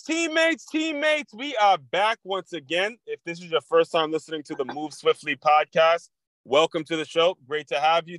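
A man's voice: a little high, no echo, poor audio. Maryland guy hosting his podcast, pumped up, repeats stuff, dry close mic. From Maryland, USA. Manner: energetic, repeats phrases for emphasis. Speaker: podcast host. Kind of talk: casual interview.

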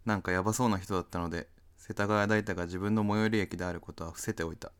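Male actor as aloof young radio drama lead, very low smooth voice, deadpan, put-upon, reserved. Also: fast talker, natural voice. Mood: deadpan, weary. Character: aloof, put-upon young man narrating his day. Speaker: narrator and lead character. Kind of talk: radio drama narration and dialogue.

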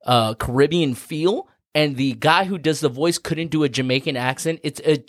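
A man hosting a casual podcast, explaining at length in an animated way, cursing freely. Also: a little high, normal speed, no room sound, studio quality. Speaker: podcast host.

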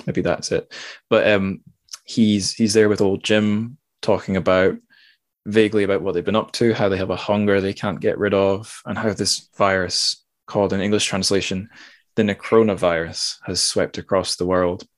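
A man with a low-pitched voice hosts a casual book discussion. His phrasing is informal and often doubles back on itself. The sound is dry and close, with very little room. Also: flat delivery, moderate pace, dry recording. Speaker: podcast host.

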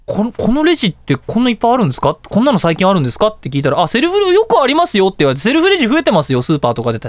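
A man hosting a casual radio talk show, telling anecdotes in an animated way and acting out dialogue and reactions. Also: sits high, rapid-fire, dry recording.

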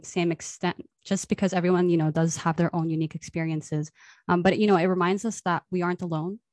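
Woman podcast host, polite, measured, slightly low voice, dry close sound. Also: a little mellow, no echo. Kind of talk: hosting a roundtable.

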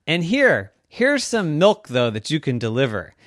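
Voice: medium pitch, huge pitch swings, a little slow, a bit bright, dry recording, studio quality. An adult storyteller voicing a sly, smug trickster pig in a matter-of-fact tone.

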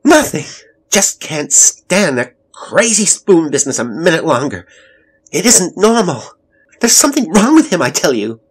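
A man voicing a distraught, defensive young mother in an audiobook story, agitated and emphatic and quick to anger. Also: a little high, big pitch swings, slightly rough, voice pushed up. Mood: furious, shrill.

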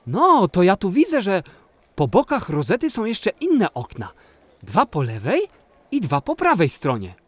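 A performer playing an excitable, mischievous little boy in a children's audio play, eagerly blurting things out. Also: mid-range voice, a little slow, no echo. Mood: curious. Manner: eager, blurting questions and exclamations.

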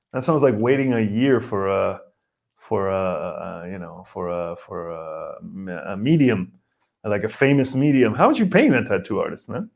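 A man, a comedian hosting a casual podcast, rambling and animated with many fillers while he reads viewer comments. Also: fairly low pitch, gravelly. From Israel. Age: 40s.

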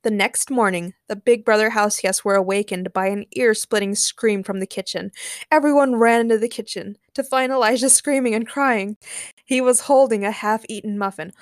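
A woman podcast host reads a fan fiction story aloud as narrator. Her voice sits at a middling pitch, and the sound is dry, with hardly any room in it. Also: normal speed, no room sound.

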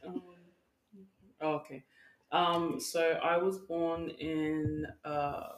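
A woman's voice: sits low, flat delivery, extremely slow, roomy sound, low-quality recording. A 21-year-old woman guest, hesitant with many ums, playful at times. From New Zealand. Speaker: podcast guest.

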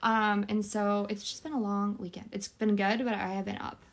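Female co-host, average pitch, relaxed conversational delivery, a touch tired, for an informal podcast. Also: moderate pace. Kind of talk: casual chat. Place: bedroom.

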